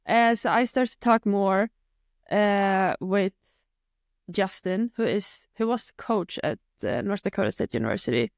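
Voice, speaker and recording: Young Swedish woman, guest in a casual chat, smooth and clear tone, dry close sound, halting with lots of "uh". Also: medium pitch, lively intonation, dry recording. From Sweden. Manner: hesitant, many fillers and restarts. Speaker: guest athlete.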